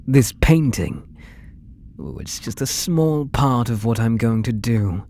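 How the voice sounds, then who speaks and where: A man with a British accent playing an obsessive, possessive painter in an intimate ASMR roleplay, breathy and doting with an undertone of menace. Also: mid-range voice, very animated, slight background noise, heavily acted. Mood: coaxing. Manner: slow, breathy, doting, menacing murmur with soft whispers. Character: obsessive, possessive male painter doting on his captive muse.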